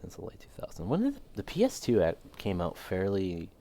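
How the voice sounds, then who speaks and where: A man's voice: deep voice, huge pitch swings, brisk, warm tone. A man streaming a game, chatting casually and thinking aloud while losing his voice. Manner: rambling, thinking aloud, many fillers. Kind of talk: casual live game commentary.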